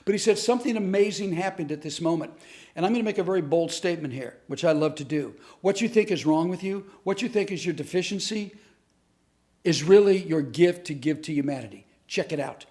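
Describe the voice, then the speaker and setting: Male storyteller-teacher, slightly high voice, earnest and animated delivery. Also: a little fast. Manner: earnest, animated storyteller who acts out quotes. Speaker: comedic speaker and teacher. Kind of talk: storytelling lesson to camera.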